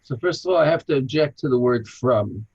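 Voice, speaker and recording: An American man from Chicago, a rabbi and archaeologist, answering interview questions with animated, anecdotal storytelling. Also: brisk. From Chicago, USA. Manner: animated anecdotal storyteller, lively jumps in pitch. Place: home in an old city, remote call. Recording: muffled, husky lo-fi line.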